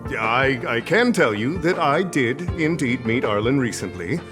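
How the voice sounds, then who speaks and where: Casting: actor as theatrical, self-important male game professor, slow, highly varied intonation. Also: expressive, lightly acted. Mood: pompous, flustered. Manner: pompous, grandiloquent, hesitating when cornered. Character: vain, theatrical male professor adored by fans. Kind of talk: theatrical game character dialogue. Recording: game dialogue with music behind.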